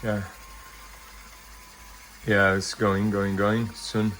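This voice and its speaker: Low-pitched man giving a casual demo. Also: flat delivery, a bit bright, slight background noise, rough recording. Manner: halting, loosely phrased, thinking aloud. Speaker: vintage hi-fi video presenter. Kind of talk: casual hands-on product demonstration.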